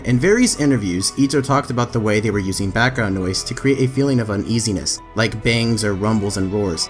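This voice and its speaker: A man reading anime trivia as a scripted voice-over, with emphatic lifts in his delivery. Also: on the low side. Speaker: anime trivia video narrator. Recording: faint background music under voice-over.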